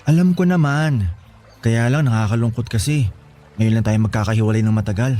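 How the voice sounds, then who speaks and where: A man's voice: mid-range voice, fairly quick, warm tone, soft background music, natural voice. A man playing a devoted young boyfriend in a Filipino radio romance, speaking earnestly and tenderly. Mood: wistful. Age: young adult. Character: devoted young boyfriend leaving to study in the city. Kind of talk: Tagalog radio romance drama acting.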